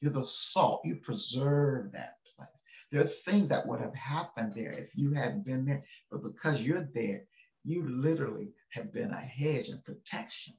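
A man's voice: medium pitch, expressive, normal speed, creaky. An older male pastor giving a casual, folksy devotional sermon from his home study, chuckling and checking in with listeners. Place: home study with bookshelves, indoors. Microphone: webcam on a video call. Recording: echoey room, slightly dull webcam sound.

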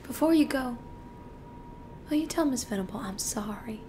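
A woman acting out a dramatic film monologue as a manipulative, theatrical killer talking to a lawyer. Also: sing-song. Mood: meek, grateful. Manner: foul-mouthed, taunting, theatrical, halting. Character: manipulative killer client, meek at first, then gloating and mocking. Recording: faint noise and low rumble.

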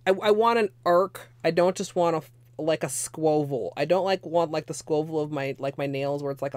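Hoarse young woman, rambling livestream chatter, dry close sound. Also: deep voice, darkish tone, no echo. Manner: chatty, rambling, many "like" fillers. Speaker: nail art livestreamer. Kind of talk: casual livestream tutorial. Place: small home room with space heater.

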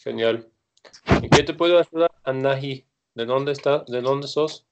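Guy in his 30s on a muffled webcam call, calm, short encouraging replies. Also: medium pitch, a little slow. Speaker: host. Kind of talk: pastoral counseling on a video call. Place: small room indoors. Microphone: laptop webcam on a video call. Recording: dull lo-fi video call audio.

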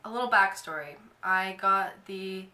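A young woman talks to her camera in a casual, filler-heavy ramble. Her voice is bright and a little stuffy from a cold. The bedroom around her adds a noticeable echo. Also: medium pitch, a little slow. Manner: chatty, lots of "like" fillers. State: nasal from a cold. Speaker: vlogger. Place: bedroom, indoors.

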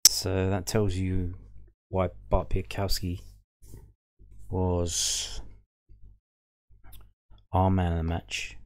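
A man's voice: low voice, little pitch movement, drawn-out words, pauses often. A man hosting a fan show, casually talking through football match statistics on screen and thinking aloud as he reads them. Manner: musing, thinking aloud over a stats table. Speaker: fan podcast host. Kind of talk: casual stats commentary.

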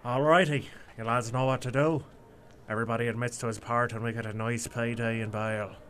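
A man's voice: mid-range voice, fairly even intonation, brisk, background noise, close to an everyday voice. A man playing a cocky, jovial Irish gangster in a radio drama, with a put-on Irish accent. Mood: brash, jovial. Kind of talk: fan radio drama acting.

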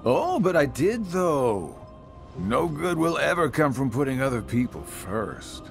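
An actor playing a smug middle-aged villain in a video game, with a mocking, sarcastic drawl. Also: male voice, mid-range voice, very animated, measured pace, creaky, soft background music. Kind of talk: video game cutscene acting.

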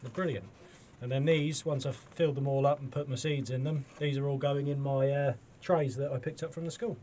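A man's voice: medium pitch, steady tone, brisk, mellow. Chatty British man, noisy recording. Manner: chatty, self-deprecating, cheerful. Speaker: allotment gardening vlogger. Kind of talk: casual hands-on demonstration to camera. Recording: clearly noisy camera sound with clicks.

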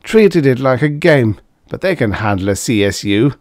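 A man voicing a brash, tipsy young male drill leader who hiccups and barks orders, in a lively, loud way. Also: medium pitch, normal speed, no room sound, studio quality, voice pushed up, natural voice. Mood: tipsy, boastful. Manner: boastful, gruff barking orders.

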